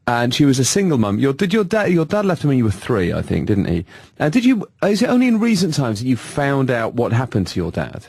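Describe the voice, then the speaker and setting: Guy doing a radio interview, lively up and down voice, dry studio feel. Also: medium pitch, fairly quick, vocal fry, husky, no echo. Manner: probing questions, brief backchannels.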